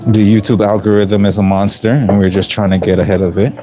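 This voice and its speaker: A middle-aged man from Jamaica with a mostly Canadian accent and a hint of Jamaican, chatting casually while cooking on a livestream, relaxed and rambling with many ums. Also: fairly low pitch, brisk, background noise.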